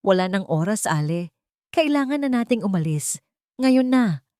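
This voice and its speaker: A woman's voice: mid-range voice, sing-song, moderate pace, mellow, no echo, clean studio sound, voice pushed up, close to an everyday voice. Casting: woman as righteous adult younger brother, audio drama, urgent and resolute.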